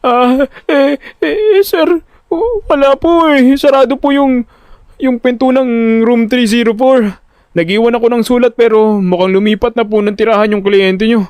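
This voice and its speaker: Man acting a nervous, high-pitched underling. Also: normal speed, darkish tone, clear, resonant tone, no echo. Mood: nervous, stammering. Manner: stammering, deferential. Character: nervous, stammering young male debt collector, apologetic underling.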